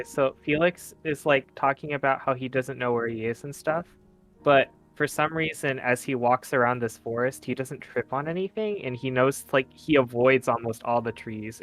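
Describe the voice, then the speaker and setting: A man's voice: mid-range voice, fairly even intonation, moderate pace, gravelly, fairly bright tone. Male roleplay gamer, medium register, casual and hesitant, light music underneath. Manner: hesitant, repeats words. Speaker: tabletop game player.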